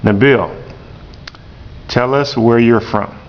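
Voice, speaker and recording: Patient man teacher, lo-fi recording. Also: slow. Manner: patient, repeats the answers back. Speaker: teacher interviewing a student. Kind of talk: classroom interview. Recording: dull lo-fi recording with rumble.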